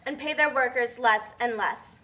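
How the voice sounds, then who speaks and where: Woman playing a high, bright puppet coworker. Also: a little slow. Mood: explanatory. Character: cynical, matter-of-fact female factory coworker, puppet. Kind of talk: amateur puppet show acting.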